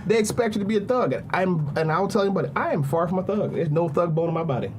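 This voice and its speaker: A man from suburban Maryland chatting on a podcast, emphatic and animated, repeating himself for stress. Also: sits high, fast, noisy background. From suburbs of Prince George's County, Maryland. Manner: animated, emphatic storytelling with repetitions. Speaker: podcast guest. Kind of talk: casual podcast chat.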